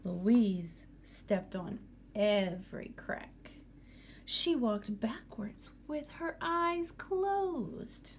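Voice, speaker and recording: Woman, higher-pitched voice, unhurried expressive storybook read-aloud, teacher addressing children, faint room noise. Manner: expressive, with questions to young listeners. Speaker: teacher reading to students. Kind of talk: picture book read-aloud with asides to children.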